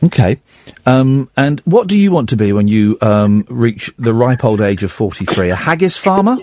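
A man in his forties hosting a radio phone-in, chatting casually and teasing a young caller in a deadpan way. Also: medium pitch, normal speed, no echo. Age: 40s.

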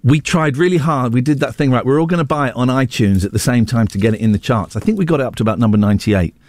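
A man with an English accent presenting a casual radio show in an excitable, rambling, jokey way. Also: mid-range voice, fast talker, no room sound. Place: radio studio.

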